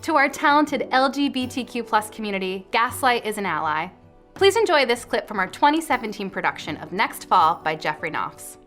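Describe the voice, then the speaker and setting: A woman's voice: a little high, big pitch swings, a little fast, a bit bright, soft background music. A woman representing a theater company, reading an upbeat, enthusiastic promotional message. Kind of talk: scripted promotional read.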